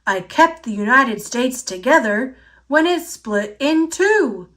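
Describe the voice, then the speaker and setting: Woman doing an earnest schoolboy president, storybook, solemn, declamatory, bright tone. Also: sits high, expressive, takes it slowly, exaggerated voice. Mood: solemn, firm. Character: tall, earnest schoolboy playing Abraham Lincoln.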